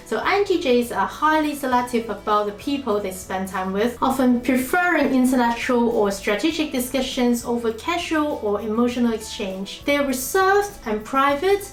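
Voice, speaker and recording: A woman's voice: a little high, echoey room. A woman from Hong Kong giving an earnest, emphatic scripted explainer as a language-learning vlogger. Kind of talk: scripted explainer talk to camera.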